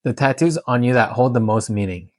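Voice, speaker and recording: A man's voice: mid-range voice, a little fast, dry recording, clean studio sound. A man from Canada and Hong Kong talking candidly and informally in a question-and-answer vlog. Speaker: tattoo artist and vlogger.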